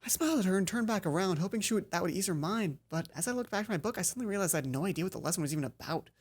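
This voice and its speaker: A woman's voice: lowish voice, expressive, rapid-fire, darkish tone, a little hiss or hum. A woman hosting a let's play, reading a visual novel aloud and acting out dialogue, with casual asides.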